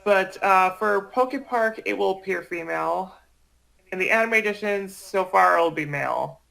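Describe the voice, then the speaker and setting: A man explaining things in casual chat, haltingly, often repeating and correcting himself. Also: high voice, vocal fry, sharp tone, poor audio.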